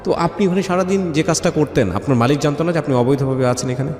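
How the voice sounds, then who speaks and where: A man's voice: on the high side, fast talker, darkish tone. A man with a Bangladeshi accent hosting an interview, putting short probing questions and brief acknowledgements to his guest. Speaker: radio show host interviewing a guest. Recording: echoey reverberant room with music behind. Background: background music.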